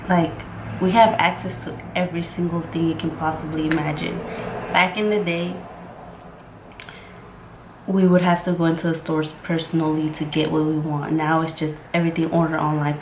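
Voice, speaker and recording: A woman of twenty-five, a native Mixtec speaker and court interpreter, chatting casually and rambling through a makeup tutorial with lots of "like.". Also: low-pitched. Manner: chatty and rambling, full of "like" and "freaking". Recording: roomy with faint ambient noise.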